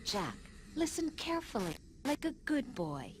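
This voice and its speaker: A woman voicing an artificial intelligence in a video game, calmly lecturing with patronizing condescension. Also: sits high, normal speed, noisy background. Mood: calm, condescending. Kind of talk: video game cutscene acting. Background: music.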